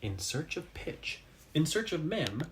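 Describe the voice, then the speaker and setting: A man reading a children's story aloud expressively, with dramatic pauses for effect. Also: on the high side, very animated. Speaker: storybook reader.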